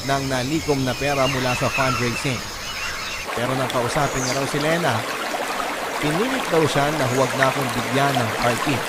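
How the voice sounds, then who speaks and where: A man's voice: medium pitch, heavy background noise, close to an everyday voice. A man narrating a first-person radio story as a regretful, ailing father. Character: regretful ailing father recounting family betrayal.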